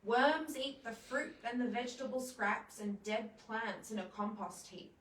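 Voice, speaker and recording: A woman's voice: a little high, unhurried, fairly bright tone. A woman presenting a children's storytime, explaining things simply and putting questions to young viewers. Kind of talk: children's storytime with songs and read-alouds. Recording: roomy echo, distant microphone.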